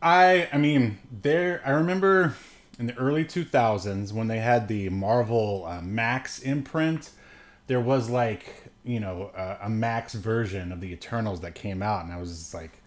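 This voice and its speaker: Man chatting haltingly as podcast co-host. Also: on the low side. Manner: halting, chuckles through sentences. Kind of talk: casual chat, movie news commentary.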